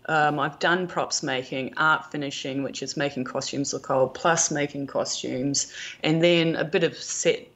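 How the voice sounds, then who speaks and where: Low-pitched New Zealand woman, chatty. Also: steady tone, a little slow, a bit bright. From rural New Zealand. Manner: chatty, anecdotal, quotes conversations. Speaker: podcast guest, film producer and prop maker. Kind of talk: casual interview chat.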